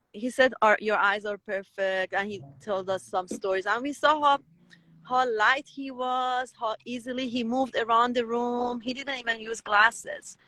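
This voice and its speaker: Persian-accented woman, bright and halting. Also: fairly high pitch, dry recording, low-quality recording. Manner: halting, many restarts and fillers. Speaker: interview guest. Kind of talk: conversational interview.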